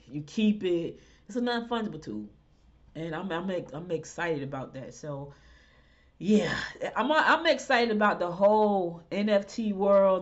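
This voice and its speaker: A woman hosting a podcast, chatting in a rambling, animated way. She sounds congested, with a stuffy nose. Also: lowish voice, lo-fi sound. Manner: rambling, animated, talks to listeners directly. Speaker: podcast host. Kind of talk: casual chatty monologue.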